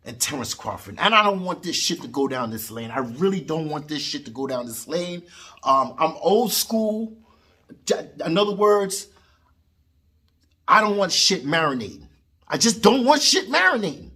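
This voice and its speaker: Loud swearing man in his forties. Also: a little high. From New York. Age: 40s. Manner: loud, boastful, swearing, streetwise slang. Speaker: boxing vlogger. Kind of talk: casual profane vlog rant. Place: home basement gym.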